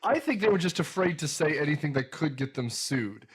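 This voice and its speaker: A man hosting a casual movie-review podcast, jokey and excitable, his sentences dropping low and quiet at the ends. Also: fairly quick, slightly rough.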